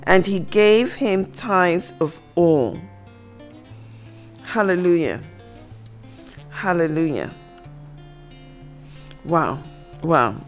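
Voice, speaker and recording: Female radio Bible teacher, unhurried and reflective, soft guitar bed under her. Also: mid-range voice. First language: not Hebrew. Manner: slow, reflective, reads scripture aloud. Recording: faint guitar music behind. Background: soft guitar music.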